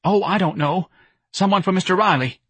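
Jittery, meek office manager for a storybook, pitched way up into a shrill pipe. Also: male voice, fairly quick, fairly bright tone, husky, dry recording. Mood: flustered. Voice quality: shrill, piping. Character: timid squeaky male office manager, nervous and eager to please.

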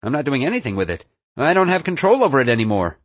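A young villain, as a male narrator plays him, talks firmly and with resolve. The pitch is animated and the sound is dry and close, as in an audiobook. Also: fast talker, dry recording. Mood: worried. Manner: confident, firm, resolved. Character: newly confident young male villain having second thoughts. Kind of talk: audiobook character acting.

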